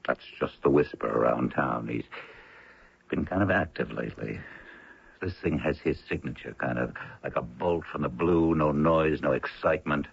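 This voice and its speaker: An actor playing a weary, resigned senior law official in a radio drama, measured and sardonic, with a gravelly, husky voice. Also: male voice, a bass voice, heavily acted. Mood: weary, matter-of-fact. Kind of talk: 1980 radio drama acting. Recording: thin, phone-like old radio recording.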